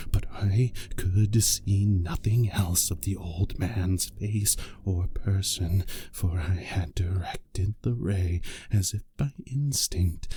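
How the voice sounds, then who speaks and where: A man playing an unhinged, obsessive murderer who narrates a gothic horror tale with menacing, deliberate, drawn-out phrasing. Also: fairly low pitch, mellow, a little hiss or hum.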